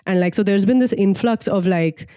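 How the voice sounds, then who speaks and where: A woman's voice: mid-range voice, normal speed, dark tone, clear, resonant tone, dry recording, studio quality. A young woman from Singapore chatting casually and reflectively as a podcast co-host, in an informal way peppered with "like".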